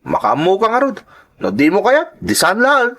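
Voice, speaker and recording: A man's voice: sits high, huge pitch swings, normal speed, fairly bright tone, exaggerated voice. A man playing a brash, pleading young brother in an audio drama, with an Ilocano accent. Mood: brash, teasing.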